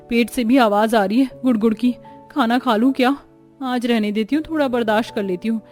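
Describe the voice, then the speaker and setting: A woman voicing an overworked young newlywed daughter-in-law in a cartoon, plaintive and soft-spoken. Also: a little high, lightly acted.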